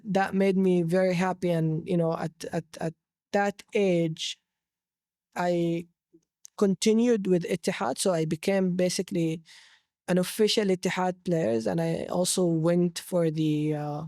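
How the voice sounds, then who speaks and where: A man's voice: high-pitched, steady tone, unhurried, creaky, a little mellow, dry recording. Saudi man telling his story, close dry sound. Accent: Saudi Arabic. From Jeddah, Saudi Arabia. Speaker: interview guest. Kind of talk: conversational personal storytelling.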